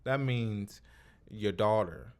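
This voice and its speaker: A man's voice: on the low side, slow, a little mellow, smooth. A man hosting a casual interview, talking emphatically and repetitively with lots of slang and swearing. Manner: emphatic, repetitive, profane street talk. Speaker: interview host. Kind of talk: casual chat.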